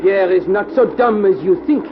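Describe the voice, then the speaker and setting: An actor playing a proud French-Canadian fur trapper in old radio drama, with an accent and stilted broken English. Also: male voice, sits high, moderate pace, natural voice. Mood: indignant, defiant. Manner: stilted broken English, speaks of himself by name. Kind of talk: 1940s radio acting. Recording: muffled, noisy old radio recording.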